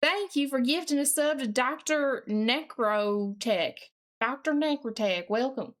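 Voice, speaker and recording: A woman's voice: high-pitched, expressive, measured pace. A woman with a put-on Southern US accent, chatting casually as a live streamer in a folksy, mildly sweary way while working at a computer.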